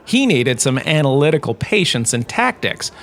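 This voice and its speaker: A man's voice: medium pitch, big pitch swings, moderate pace, a bit bright. A man playing a self-important washed-up lecturer in a space cantina, telling lore with wry, theatrical emphasis in a conversational way. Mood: wry, storytelling. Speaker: host, lecturer. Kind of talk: scripted comedic lore lecture, narration.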